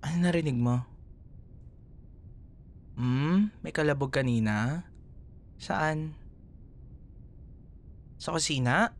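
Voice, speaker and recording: Man acting as slow, tender Filipino boyfriend. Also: a little high, a little hiss or hum. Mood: concerned, reassuring. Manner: teasing, tender, chuckles often. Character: affectionate, playful young boyfriend comforting his scared partner. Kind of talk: ASMR roleplay, intimate one-sided dialogue.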